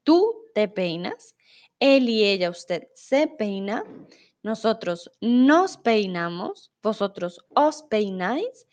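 A woman's voice: medium pitch, very animated, slow, darkish tone. A young Colombian woman from Bogotá with a Bogotá accent, teaching a live-streamed Spanish lesson, explaining patiently and reading viewer comments aloud.

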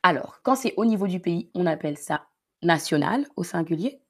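A woman's voice: lowish voice, animated, moderate pace. A woman with a Parisian accent, from the Paris region, teaching a live-streamed French lesson with careful explanations and repeated key words. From Paris region, France.

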